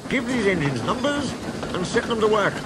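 A man's voice: sits high, wildly swooping pitch, normal speed, noisy background, voice pushed up, heavily acted. A man voicing a stern, exasperated railway controller from a children's story, speaking in commanding, clipped questions. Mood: stern, questioning.

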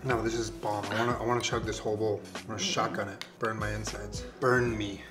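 A man's voice: on the low side, level tone, fast talker. A young man joking around as he cooks in his home kitchen, in casual, goofy banter. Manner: joking, playful, teasing. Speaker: vlogger cooking at home. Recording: roomy kitchen with music behind.

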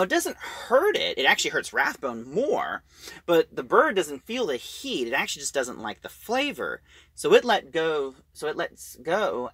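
A man's voice: a little high, sharp tone, husky, no room sound. A man giving a casual recap of a story as an audio drama reviewer.

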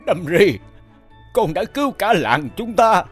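An old cartoon village elder, weary and cautious, in a fairly high voice with lively swings of pitch. He is plaintive and. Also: slow, slightly rough, soft background music, full character voice. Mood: relieved. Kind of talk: animated fairy-tale voice acting.

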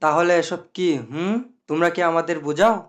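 Man as officious higher-voiced councilman's sidekick. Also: brisk, close to an everyday voice. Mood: indignant. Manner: argumentative, talkative. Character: officious, meddling male assistant to village councilman. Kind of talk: cartoon dialogue.

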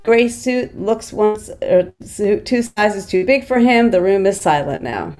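A woman's voice: mid-range voice, animated, a little slow, darkish tone. A middle-aged woman reading stage directions as scripted narration over a video call. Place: small plain room at home, on video call.

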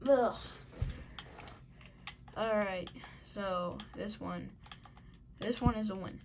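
A woman giving casual commentary while playing a game, muttering and mocking herself in frustration, a little raspy at times. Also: mid-range voice, drawn-out words, background noise. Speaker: gaming channel host.

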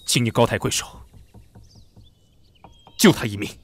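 An actor playing a proud, emotional prince regent of imperial China in an audio drama, dramatic and impassioned. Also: male voice, mid-range voice, lively intonation, unhurried. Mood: urgent, pleading. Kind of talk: Chinese historical audio drama acting. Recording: background music under the voice.

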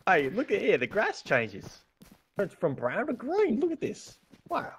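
A man in casual gaming banter as squad leader, excitable, goofy and foul-mouthed. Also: sits high, unhurried, slight background noise. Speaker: gamer playing squad leader.

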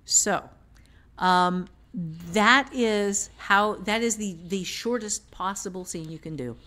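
A woman's voice: medium pitch, slow pace, pushed and bright. A woman author and teacher explaining things step by step with examples in casual podcast talk.

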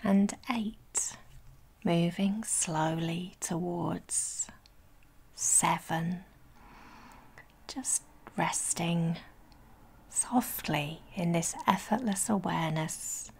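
A woman leading a guided sleep meditation in a breathy, whispery soft voice, soothing and gentle, with long pauses between phrases. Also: lowish voice. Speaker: guided relaxation instructor.

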